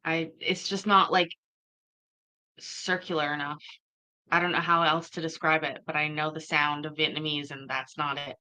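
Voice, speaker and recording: A woman offers casual commentary on the clip and speaks bluntly. Her voice sits fairly low, with a bright, sharp edge. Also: little pitch movement, normal speed, poor audio.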